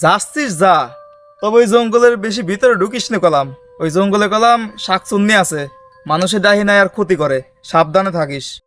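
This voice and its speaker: A man's voice: high-pitched, moderate pace, fairly bright tone, faint music behind. A man playing a village elder brother, cautioning and scolding in rustic dialect.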